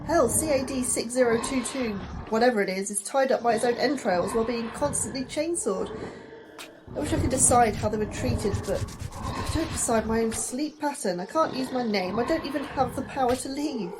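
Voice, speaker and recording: A woman's voice: on the high side, moderate pace, noisy background, natural voice. A woman playing a frustrated, anxious young-ranking science officer in a sci-fi audio drama, grumbling and sarcastic. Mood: exasperated. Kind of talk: audio fiction drama acting.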